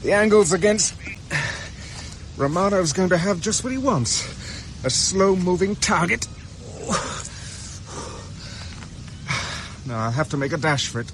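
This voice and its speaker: An actor playing a wounded, dogged young British fugitive on the run, muttering urgently to himself with effort in a radio drama. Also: male voice, sits high, lively intonation, moderate pace, fairly bright tone. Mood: desperate, straining. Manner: groaning, effortful, urgent self-talk. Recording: noisy outdoor sound effects behind voice.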